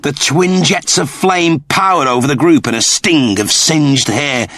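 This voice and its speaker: A man reading scripted fiction as an audiobook narrator, with animated, theatrical storytelling. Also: fairly high pitch, a little slow, dry recording.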